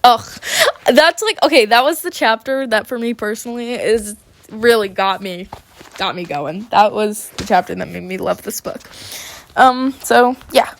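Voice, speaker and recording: A woman's voice: on the high side, moderate pace, vocal fry, slight background noise. A sick woman reading an amateur audiobook aloud and chatting casually afterwards. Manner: casual, rambling. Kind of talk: audiobook narration.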